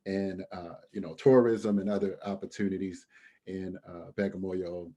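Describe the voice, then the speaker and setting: A man's voice: deep voice, fairly even intonation, normal speed, mellow. A man hosting an event and introducing the next speaker, friendly, with fillers. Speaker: host.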